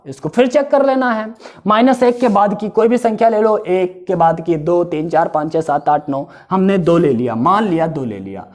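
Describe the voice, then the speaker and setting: A man with a hoarse, strained throat talks through a math lesson at a steady, patient pace. He says each number twice and keeps asking his students what comes next. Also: sits high. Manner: explains step by step, repeats each value, asks the class questions. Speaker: math teacher.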